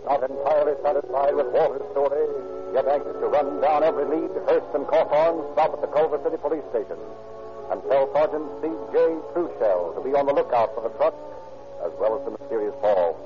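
Measured, formal male narrator with a mid-range voice; muffled old radio recording with music playing clearly behind. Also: medium pitch. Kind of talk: scripted narration.

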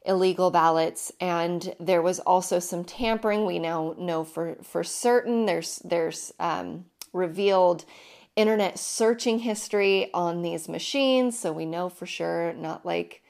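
A woman in her thirties hosting her own podcast, talking casually with emphatic repetition, her voice hoarse and deepened from illness. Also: unhurried, a little mellow. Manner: chatty, emphatic, repeats herself. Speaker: podcast host. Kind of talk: casual solo podcast monologue.

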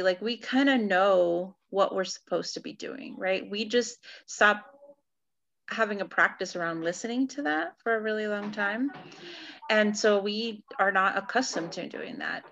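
A woman in her forties from California talks through personal experiences in a reflective, searching way, peppering her thoughts with "like" and "right?". Her voice sits at a medium pitch. The recording sounds a bit dull and lo-fi. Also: fairly bright tone. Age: 40s. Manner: reflective, thinking aloud with frequent "like" and "right?". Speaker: podcast co-host. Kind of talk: casual personal chat.

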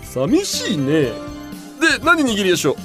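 Woman as a lively, hearty sushi bar boss. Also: medium pitch, unhurried, over music. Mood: friendly, pitching. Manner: hearty old-Tokyo shopkeeper talk. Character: friendly, blunt male sushi bar master. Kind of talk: comic radio drama.

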